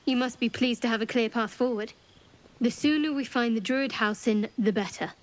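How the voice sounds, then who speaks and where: A woman's voice: a little high, moderate pace, natural voice. A woman as a wry, guarded cleric. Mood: thoughtful. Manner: wry, reserved, thoughtful. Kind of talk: video game cutscene dialogue.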